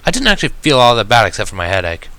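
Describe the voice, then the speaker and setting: A man giving an expressive amateur narration of a fan fiction story. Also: medium pitch, very fast.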